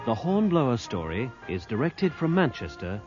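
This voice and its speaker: A man's voice: mid-range voice, big pitch swings, normal speed, dull audio. A British man working as a radio announcer, reading out scripted programme information. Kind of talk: scripted broadcast credits reading. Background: loud music.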